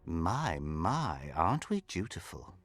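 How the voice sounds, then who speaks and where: A man's voice: low voice, sing-song, takes it slowly, creaky, no echo, exaggerated voice. Pompous game nobleman, theatrical, swooping intonation, sly and mocking, florid courtly phrasing, music behind. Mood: insinuating, courteous. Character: flamboyant, pompous, scheming aristocratic count, mocking and suggestive. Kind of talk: video game character dialogue.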